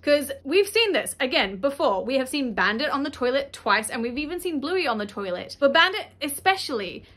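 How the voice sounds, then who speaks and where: An Australian woman in her thirties, a mum, talking casually to camera at a desk in a room at home. She gives animated, indignant commentary and gestures a lot as she talks. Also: high voice, a little fast, few pauses. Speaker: YouTube host and mum. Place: indoor room with a patterned blanket behind her.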